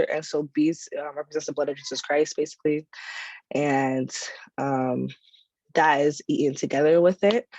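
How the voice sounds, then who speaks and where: A woman's voice: low voice, a little mellow, no echo, dull audio. A young woman, raised speaking Haitian Creole and English, giving a class presentation in a casual way with many "um"s and "like"s. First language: Haitian Creole and English from childhood. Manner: casual, lots of fillers like "um" and "like", self-correcting. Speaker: student presenter.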